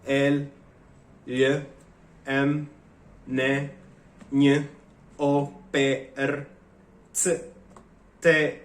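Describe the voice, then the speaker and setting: A Mexican man with a Mexican Spanish accent, a native Spanish speaker, talking eagerly to camera in halting English. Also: medium pitch, completely flat delivery. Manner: eager, chatty, halting non-native phrasing. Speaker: vlogger. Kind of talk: casual talk to camera. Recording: echoey room.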